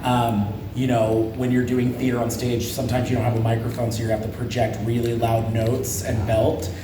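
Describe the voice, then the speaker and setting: A voice actor, a man, gives earnest advice at a convention panel. He is heard from a distance in a roomy space, with a steady noise bed behind him. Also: mid-range voice, normal speed. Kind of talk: panel interview answer. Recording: echoey room, from afar, noisy.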